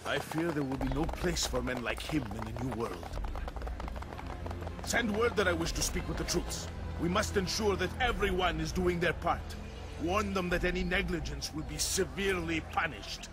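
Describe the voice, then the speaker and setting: A man's voice: on the high side, normal speed, loud music behind the voice, close to an everyday voice. An actor playing an arrogant, stern nobleman villain in a video game cutscene, speaking in a formal, lecturing way. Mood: imperious, irritated. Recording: long hall reverb, clear background noise.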